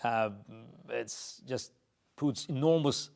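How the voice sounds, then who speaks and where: A middle-aged man from Azerbaijan, answering interview questions in a halting way, full of fillers and restarts. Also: mid-range voice, gravelly, a little mellow, slight background noise. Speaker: interview guest.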